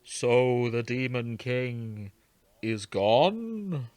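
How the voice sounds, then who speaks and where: Man voicing a slow, stately ancient sage queen. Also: mid-range voice, sing-song, a little mellow, clean tone, no echo. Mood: astonished. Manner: slow, grand, dramatic pauses. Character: ancient female sage spirit, solemn, stately chief. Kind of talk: hyperdramatic fan fiction reading.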